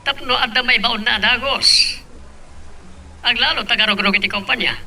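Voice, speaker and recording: A man's voice: extremely high pitch, moderate pace, sharp tone, distant mic, exaggerated voice. A man playing a courteous, self-assured rich young businessman in an audio drama. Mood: courteous, curious.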